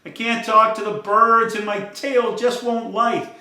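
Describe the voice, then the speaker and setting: A man's voice: high voice, steady tone, unhurried, bright, forceful tone, pitched above the performer's own voice, exaggerated voice. A man voicing a young female bulldog who is sad and eager to fit in, in an earnest, plaintive way, recorded in a very echoey room.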